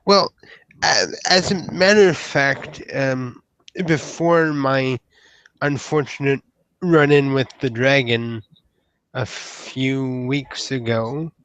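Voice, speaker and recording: A man's voice: medium pitch, fairly bright tone, clear, resonant tone, no room sound, low-quality recording. A man playing a hesitant, courteous young troll in a live roleplay, stammering and choosing formal words. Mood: halting, apologetic. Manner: halting, stammering, formal wording. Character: hesitant, courteous young newly made troll, earnest would-be knight.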